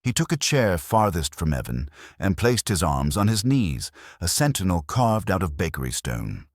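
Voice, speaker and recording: A man narrating a detective mystery as a scripted audiobook, in a measured, literary delivery. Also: sits low, sing-song, no echo, clean studio sound.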